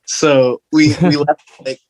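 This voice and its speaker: A man's voice: fairly high pitch, flat delivery, takes it slowly, a little mellow, no echo, low-quality recording. American guy telling stories, dry close sound. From Michigan, United States. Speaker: podcast guest, metalcore guitarist. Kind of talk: casual conversational storytelling.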